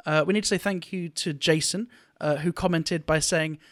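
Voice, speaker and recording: A man co-hosting a casual podcast, telling stories in an animated, joking, mildly profane way. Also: on the high side, moderate pace, no room sound, studio quality. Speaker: podcast co-host.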